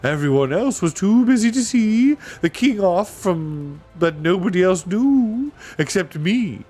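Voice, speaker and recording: A man voicing a big, fussy, flustered owl in a storybook, with hooting, drawn-out vowels. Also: sits high, animated, a little mellow, faint music behind, voice pushed up. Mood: sad, musing. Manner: fussy muttering, stretched words. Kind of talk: storybook character voice.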